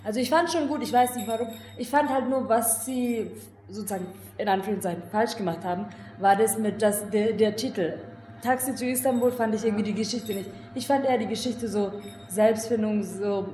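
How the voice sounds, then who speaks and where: A woman's voice: medium pitch, fairly even intonation, brisk, a little mellow. A young woman on a youth jury, talking casually about a play and often hunting for words. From Germany. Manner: hesitant, searching for words. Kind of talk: casual spoken review. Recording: roomy echo, faint noise.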